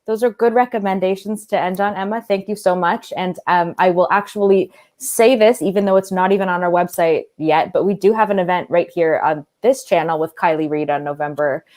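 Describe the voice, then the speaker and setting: A woman's voice: on the low side, fairly quick, few pauses, a little mellow. A woman event host giving informal thanks and announcements to an online audience.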